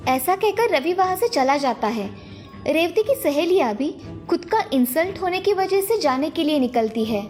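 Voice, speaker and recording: A woman's voice: very high voice, expressive, normal speed, darkish tone, soft background music. A woman narrating a scripted children's moral story.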